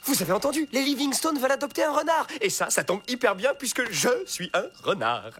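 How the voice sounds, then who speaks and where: An actor voicing an eager, boastful male fox in a cartoon, with an excitable, grandiloquent delivery. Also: mid-range voice, brisk, natural voice. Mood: excited, pompous. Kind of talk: French cartoon dialogue.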